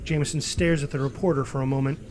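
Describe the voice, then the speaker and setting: A man's voice: mid-range voice, steady tone, quick pace, a little mellow, slight background noise. A man narrating a screenplay, reading out scene headings and action as a scripted narrator. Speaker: narrator reading stage directions.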